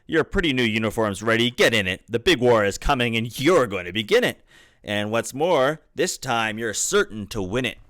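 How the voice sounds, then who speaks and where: A man's voice: mid-range voice, animated, normal speed, fairly bright tone, no room sound, studio quality, lightly acted. A man reading a storybook aloud, voicing a confident war chief creature who gives rallying, commanding pep talks.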